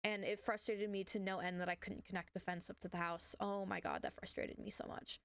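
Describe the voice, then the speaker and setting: A young woman, hoarse from being sick, chatting casually and rambling with many fillers while narrating her building game. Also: mid-range voice, flat delivery, a little fast, dry recording. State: hoarse from illness. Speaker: gaming channel host. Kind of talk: casual voice-over commentary on gameplay.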